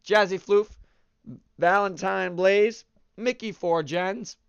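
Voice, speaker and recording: A man's voice: high voice, fairly even intonation, slow, creaky, clear, resonant tone, no room sound, lo-fi sound. Chatty young man with a stuffy nose. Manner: upbeat, chatty, shout-outs with many fillers. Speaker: gaming channel host. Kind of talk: casual let's-play commentary.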